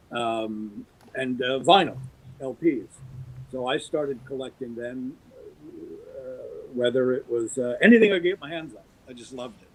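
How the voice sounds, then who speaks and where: Husky middle-aged man reminiscing. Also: medium pitch, a little mellow, poor audio. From US East Coast, Baltimore. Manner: reminiscing, thoughtful. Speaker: actor and radio drama guest. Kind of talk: casual chat.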